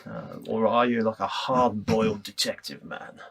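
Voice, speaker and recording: A man's voice: fairly low pitch, steady tone, normal speed, gravelly, slightly rough. A man with an English accent hosting an informal interview in a chatty, jokey way. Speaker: podcast presenter. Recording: ambient room microphone.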